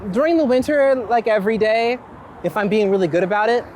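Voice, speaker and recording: A man's voice: high voice, lively intonation, fairly quick. A man talking casually and reflectively as a street photographer while out walking in a city, often breaking off mid-thought. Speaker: street photographer being interviewed. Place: outdoors on a busy city street. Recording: outdoor city street noise, traffic.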